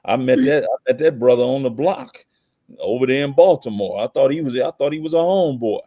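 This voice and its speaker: A man's voice: mid-range voice, brisk, mellow. An American man giving opinionated commentary in conversation as a podcast guest, emphatic and slangy, often posing rhetorical questions.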